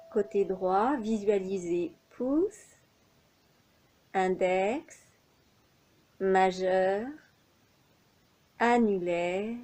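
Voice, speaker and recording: Very slow, mellow woman guiding relaxation. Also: medium pitch. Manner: unhurried, measured phrases with pauses. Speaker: yoga nidra instructor. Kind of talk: guided relaxation meditation instructions.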